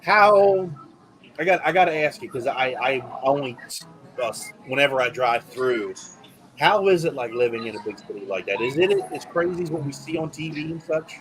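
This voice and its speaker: A man hosting a casual podcast chat, animated and excitable when telling a story. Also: mid-range voice, moderate pace, soft background music, low-quality recording. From rural area. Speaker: podcast host.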